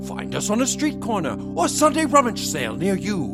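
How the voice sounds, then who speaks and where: An actor playing a pompous pulp author pitching self-help in a parody vintage radio advert. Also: male voice, wildly swooping pitch, a little fast. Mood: grandiose, salesy. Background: loud plucked guitar music.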